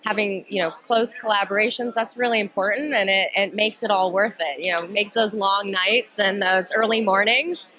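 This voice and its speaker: Bright, earnest woman producer. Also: mid-range voice, fairly even intonation, moderate pace, hardly any pauses, a little hiss or hum, low-quality recording. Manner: thoughtful, earnest. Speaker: film producer. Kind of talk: red carpet interview. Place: film festival red carpet, indoors. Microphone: handheld interview mic.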